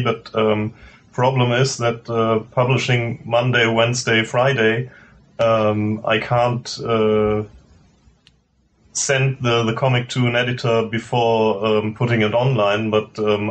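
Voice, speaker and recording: A man speaking haltingly in a casual panel discussion as a comic creator. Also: medium pitch, slight background noise. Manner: hesitant, halting.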